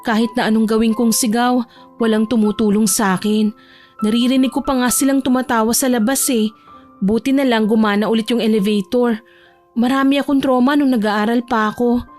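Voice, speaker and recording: Woman acting shy, anxious young Filipina, high-voiced. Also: steady tone, a little fast, darkish tone, faint music behind, natural voice. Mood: hurt, subdued. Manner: timid, pleading, emotional. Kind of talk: audio romance drama acting.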